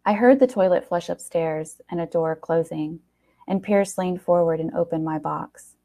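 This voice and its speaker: A woman reading a short story aloud in an expressive, wry first-person narration with dramatic pauses. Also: lowish voice.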